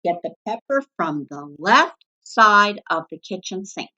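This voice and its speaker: A woman voicing a scruffy, bad-tempered male varmint from a storybook, gruff, snarling and curt. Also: medium pitch, very animated, measured pace, sharp tone, lo-fi sound, full character voice. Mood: irritated. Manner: snarling, curt, barking orders.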